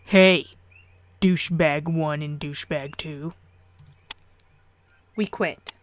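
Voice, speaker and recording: A woman voicing a brash, sarcastic heckler who loudly taunts in the middle of a story. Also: deep voice, steady tone, takes it slowly, a little hiss or hum, voice pushed down. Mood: mocking.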